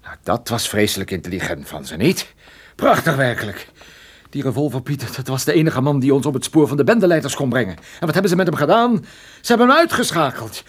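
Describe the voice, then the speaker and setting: An actor playing a wounded, irascible and flirtatious private detective in a radio play, with a sarcastic, grumbling delivery. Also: male voice, mid-range voice, big pitch swings, fairly quick, breathy edge. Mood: sarcastic, irate.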